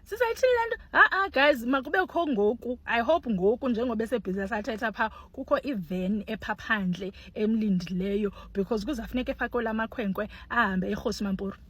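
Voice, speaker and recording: A South African woman commenting on a news clip for her channel. She talks in an animated, exclaiming way, switching freely between languages and sounding indignant. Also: fairly high pitch, brisk, few pauses, slightly rough, dry recording. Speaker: online commentator. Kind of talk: casual commentary vlog.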